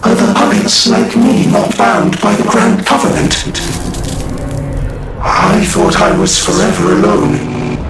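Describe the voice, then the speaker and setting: A man playing a lonely, gentle puppet outcast who longs to feel, speaking haltingly and wistfully in a video game. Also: high voice, sing-song, creaky, full character voice. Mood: sorrowful. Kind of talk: video game cutscene acting. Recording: distant, heavily echoing, under music.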